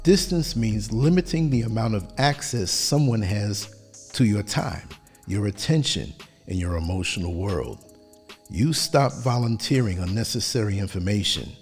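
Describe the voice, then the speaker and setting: A man hosting a podcast, giving a motivational monologue in short, emphatic lines, his voice hoarse from a long day of talking. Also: on the low side, animated, a little mellow, faint music behind. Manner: measured, emphatic delivery in short declarative lines. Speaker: podcast host.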